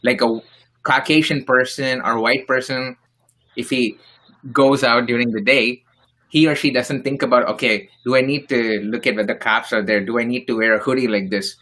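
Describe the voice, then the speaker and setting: A man from India talks through his point in a roundtable discussion, earnest and full of examples. His voice is bright and forward. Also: medium pitch, steady tone, moderate pace, poor audio. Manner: earnest, explains with examples and asides. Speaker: panelist.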